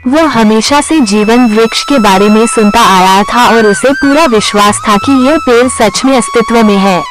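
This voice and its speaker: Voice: medium pitch, rough recording. A storyteller reading a scripted moral tale in a narrating style. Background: background music.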